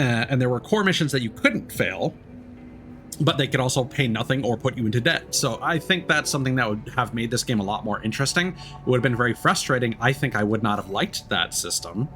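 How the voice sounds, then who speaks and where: A man's voice: fairly low pitch, a bit bright. A man giving casual video game commentary as a streamer, talking in a reflective, meandering and hesitant way. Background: background music.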